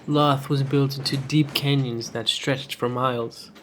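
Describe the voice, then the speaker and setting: A man's voice: medium pitch, steady tone, measured pace, a bit bright, a little hiss or hum. A man co-narrating a fiction podcast in a scripted, conversational storytelling style, with an informal manner.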